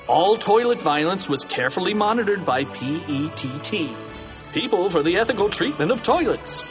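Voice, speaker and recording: A man's voice: sits high, very animated, normal speed, music in the background, pitched above the performer's own voice. A man voicing a bombastic, mock-serious announcer for cartoon battles in a storybook. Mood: mock solemn.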